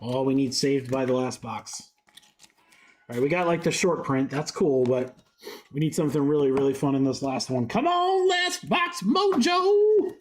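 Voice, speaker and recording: A man's voice: mid-range voice, animated, moderate pace. A congested young man with a head cold, chatting upbeat on a live stream while he rattles off cards. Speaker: card break streamer. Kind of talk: live-stream card break commentary.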